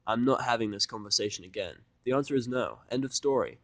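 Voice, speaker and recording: Brief: male reader as blunt, grudging cynic in a fan fiction drama, medium pitch, close dry sound. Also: quick pace, dry recording, close to an everyday voice. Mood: firm, irritated. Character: gruff, cynical, blunt human living reluctantly among ponies.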